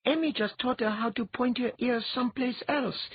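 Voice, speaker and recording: A reader voicing a high, curious boy. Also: moderate pace, husky, no room sound, narrow, tinny audio, lightly acted. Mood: matter-of-fact. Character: eager, curious boy who reads minds.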